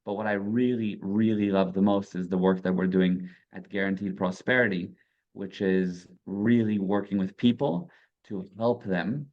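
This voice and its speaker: A man's voice: lowish voice, steady tone, moderate pace, lo-fi sound. A man in his thirties with a mixed Israeli-American accent, a native Hebrew speaker, talking earnestly as a guest in a casual interview. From South Africa. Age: 30s. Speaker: guest entrepreneur.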